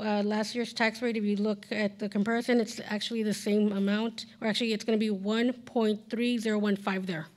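A woman's voice: medium pitch, a little mellow. A woman in her forties, a school district finance officer, reading budget figures at a board hearing into a podium microphone, with many fillers and self-corrections. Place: large council chamber, indoors.

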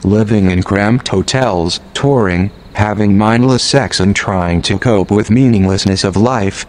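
A man's voice: fairly low pitch, a little slow, slight background noise, lightly acted. A man playing a blunt, scheming musician in a comedy audio drama, with casual, sweary, sarcastic banter. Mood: wry. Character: blunt, scheming male musician in comeback band.

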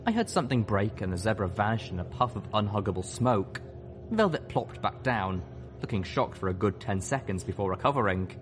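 A man's voice: fairly low pitch, fairly quick, over music. A man narrating a scripted story as an audiobook reader.

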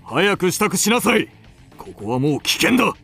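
Haughty, high-pitched cold nobleman. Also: big pitch swings, moderate pace, creaky, bright, forceful tone, soft background music, heavily acted. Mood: urgent. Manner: imperious, curt. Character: haughty, cold middle-aged male aristocrat. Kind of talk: Japanese radio drama acting.

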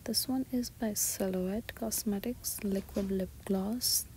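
A woman shopping vlogger giving soft, breathy, often half-whispered ASMR-style commentary as she describes items one by one inside a store. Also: medium pitch, fairly even intonation, slow. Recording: store ambience noise behind soft voice.

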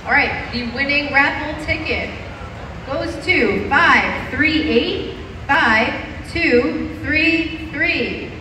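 A woman announcing results and a raffle at a school competition, speaking slowly and clearly over a public address system in a large gymnasium. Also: sits high, lively intonation. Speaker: event announcer. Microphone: PA system heard from phone camera far off. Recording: echoey gym PA from far off with loud crowd. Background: crowd noise in a gym.

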